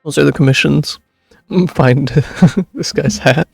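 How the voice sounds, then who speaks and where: A man's voice: on the high side, fairly even intonation, slow pace, creaky, darkish tone, slightly rough, dry recording, soft background music. A man streaming a game, giving casual, wry reaction commentary in brief asides on a close microphone.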